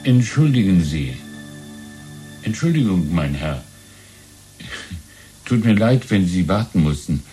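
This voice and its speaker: An actor playing a courteous, wistful old antique dealer in a radio drama, hesitant and gently deferential. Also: male voice, sits low, sing-song, slow pace, pauses often, faint music behind, heavily acted. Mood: apologetic. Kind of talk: 1970s German radio drama acting.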